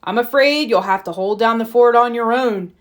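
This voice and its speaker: A woman's voice: a little high, normal speed, natural voice. A woman reads the part of a storybook papa bear, busy but kindly. He speaks in a friendly, considerate way to his family.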